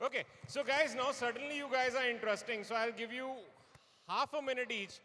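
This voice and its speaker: A man's voice: extremely high pitch, fairly even intonation, fairly quick, sharp tone, slight background noise. A man in his forties with an Indian accent, moderating a live panel with teasing, self-deprecating humour. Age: 40s. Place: large indoor hall with audience. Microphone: event microphone. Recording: echoey hall, live event PA.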